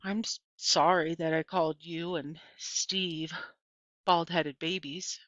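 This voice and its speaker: Woman reading as an earnest, bright-voiced young girl. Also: low voice, steady tone, slow, no room sound. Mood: polite, subdued. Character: earnest young Southern girl, tender and plucky. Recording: dull, lo-fi, dry close recording.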